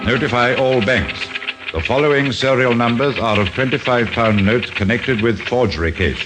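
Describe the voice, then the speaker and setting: An actor playing a coolly probing police inspector in a radio drama, formal and authoritative. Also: male voice, medium pitch, normal speed, noisy background, natural voice. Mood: commanding. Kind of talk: old-time radio drama acting.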